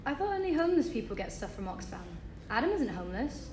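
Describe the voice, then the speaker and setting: Casting: naive, timid imaginary little girl played by a woman, high voice, roomy noisy recording. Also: big pitch swings, quick pace. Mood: innocent, naive. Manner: innocent, timid. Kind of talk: script table read. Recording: echoey, distant, noisy room recording.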